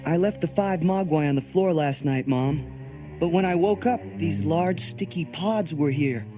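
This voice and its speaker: A man playing an earnest, kind young man with a boyish, expressive delivery in a children's read-along audio drama. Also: fairly high pitch, measured pace, natural voice. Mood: casual. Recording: cassette transfer with music behind. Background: music.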